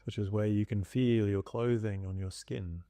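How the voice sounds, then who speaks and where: A man leading a guided body scan meditation as a teacher, speaking in calm, gentle instructions with long pauses. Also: on the low side, dry recording.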